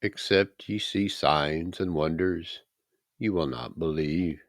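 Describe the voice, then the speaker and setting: A man voicing a calm, authoritative holy teacher in a scripture reading, grave and deliberate. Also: low voice, dry recording, studio quality. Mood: firm. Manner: grave, deliberate, pausing between phrases.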